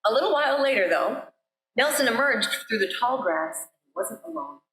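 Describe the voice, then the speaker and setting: Animated woman storyteller in an echoey sanctuary. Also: sits high, a little hiss or hum. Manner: deliberate, repeats phrases for effect. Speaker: minister. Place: church sanctuary.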